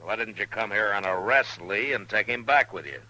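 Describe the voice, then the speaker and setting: Old-time radio western marshal, really deep hard voice, stern n plainspoken. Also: male voice, moderate pace, pushed and bright, low-quality recording. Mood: stern warning. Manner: firm, authoritative, plainspoken. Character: stern, steadfast frontier marshal. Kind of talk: 1950s radio western acting.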